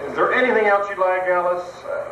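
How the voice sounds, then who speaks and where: A man's voice: high voice, steady tone, brisk, fairly bright tone, highs cut off, a little hiss or hum. A man voicing a tired, hungry husband who grows more exasperated as he tries to order food. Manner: exasperated, pleading. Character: weary, hungry husband on a road trip. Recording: echoey, distant amateur recording.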